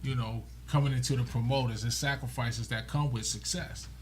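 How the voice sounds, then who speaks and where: A man's voice: mid-range voice, fairly even intonation, a little fast, a crowd in the background. A man hosting a casual radio interview, joking and laughing with slangy talk. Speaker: radio show host.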